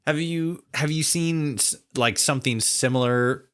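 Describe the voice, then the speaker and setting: A man hosting a casual interview, feeling his way haltingly through roundabout questions. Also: mid-range voice, a bit bright, clear, resonant tone. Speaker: podcast host, interviewer.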